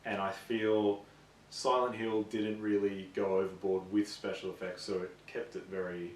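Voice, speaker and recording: A man's voice: lowish voice, flat delivery, normal speed, a little hiss or hum. A man co-hosting a casual show of banter about games and films. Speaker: video show co-host. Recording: echoey room, distant mic.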